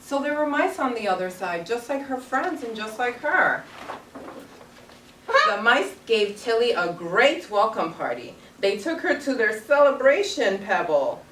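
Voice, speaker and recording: A woman's voice: fairly high pitch, very animated, unhurried, a bit bright. A young woman teacher reading a story aloud to young children, stopping to ask questions and echo their answers. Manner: prompting with questions, echoing pupils' answers. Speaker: primary school teacher. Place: carpeted classroom full of young children. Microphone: distant camera microphone. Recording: echoey, distant, noisy classroom pickup.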